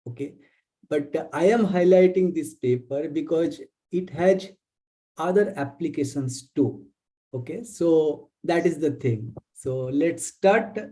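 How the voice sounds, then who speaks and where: Mellow Indian-accented man giving an academic lecture. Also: a little high, rough recording. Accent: Indian English. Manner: deliberate, halting, careful phrasing. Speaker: visiting professor, guest lecturer. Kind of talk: academic webinar presentation with slides.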